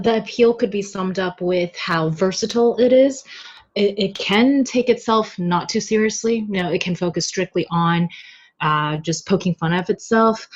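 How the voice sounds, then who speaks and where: A woman guest explaining her views in a casual discussion, pausing to find her words. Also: mid-range voice, a little mellow. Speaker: podcast guest. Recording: slightly dull, lo-fi audio.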